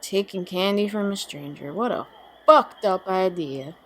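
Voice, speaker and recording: A woman's voice: mid-range voice, a little slow, lightly acted. A woman playing a wry, foul-mouthed young woman in an audio drama, with a casual, dry, teasing delivery. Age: young adult.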